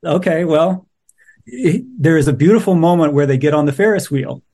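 A man's voice: fairly high pitch, lively intonation, normal speed, warm tone. A middle-aged man speaking as an interviewed playwright, casual, reflective and a little wry.

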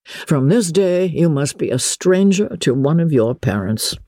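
A reader voicing a calm, teasing middle-aged gentleman father in a deadpan, measured way for a storybook. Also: mid-range voice, no echo, clean studio sound. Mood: dry, wry.

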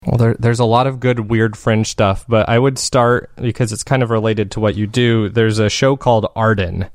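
A man's voice: lowish voice, steady tone, normal speed, dry recording, studio quality. A man speaking as an interview guest, chatting casually and enthusiastically in rambling explanations. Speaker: guest, fiction podcast maker.

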